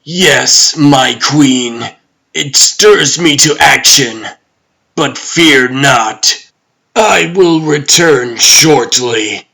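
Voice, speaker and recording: Imperious comic-book tyrant god played by a man, very slow delivery, forceful edge, cold and pompous. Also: medium pitch, gravelly, heavily acted. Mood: resolved. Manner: pompous, commanding, deliberate. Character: imperious male god emperor, cold, haughty, brooding tyrant. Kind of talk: comic book dub, dramatic voice acting.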